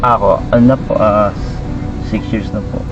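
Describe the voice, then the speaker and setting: A man, a ride-hailing driver and vlogger, chatting politely and a little haltingly with passengers in his car. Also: on the low side, flat delivery, distant mic, lo-fi sound, over music. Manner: polite, soft-spoken, a bit halting. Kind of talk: casual chat with passengers. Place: inside a car while driving. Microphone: dashboard camera. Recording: car noise in the background.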